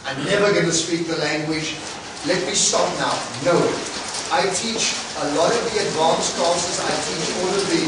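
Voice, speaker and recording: Chatty South African man in an echoey classroom. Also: high voice. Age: 40s. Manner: encouraging, chatty, laughs between points. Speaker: guest speaker and English teacher. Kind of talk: classroom talk. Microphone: distant camera mic. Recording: echoey room from far off, noisy.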